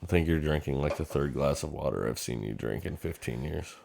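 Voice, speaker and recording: A man's voice: a bass voice, expressive, brisk, gravelly, darkish tone. An American man in his thirties chatting casually as a podcast co-host. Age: 30s. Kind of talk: casual chat.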